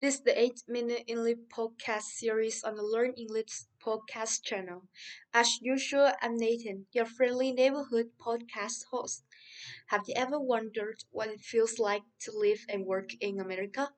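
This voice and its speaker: A man's voice: extremely high pitch, steady tone, moderate pace. A man doing an upbeat, friendly podcast host. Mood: cheerful. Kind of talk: scripted interview for English learners.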